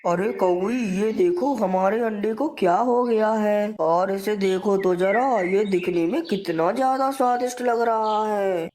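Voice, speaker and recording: A woman's voice: medium pitch, unhurried, few pauses, darkish tone, lightly acted. A woman voicing a lazy, gluttonous male crow husband in a cartoon, complaining and justifying himself. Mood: greedy, excited. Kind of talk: Hindi cartoon dialogue.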